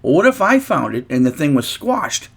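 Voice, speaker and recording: A man's voice: fairly high pitch, big pitch swings, normal speed, gravelly, slightly rough. A man chatting casually in an unboxing vlog, telling a long anecdote with asides and restarts. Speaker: guitar reviewer.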